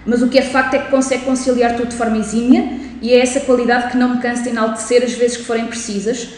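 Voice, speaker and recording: Woman, podcast host, quick talker, strong room echo. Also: on the high side, level tone, a little mellow, slight background noise. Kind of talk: podcast interview. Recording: echoey room.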